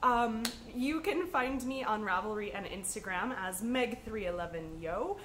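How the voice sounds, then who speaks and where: A woman's voice: medium pitch, animated, measured pace, noisy background. A woman hosting a podcast, chatting casually to camera in an upbeat, rambling way, slightly sniffly from a cold. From United States. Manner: chatty and upbeat with frequent ums. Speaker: podcast host.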